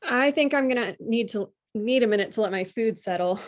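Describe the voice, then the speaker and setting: A fairly high young female voice, a woman acting as a shy fiancée visiting as a guest. She speaks with halting politeness and nervousness in a table-read drama. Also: lightly acted. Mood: polite, nervous.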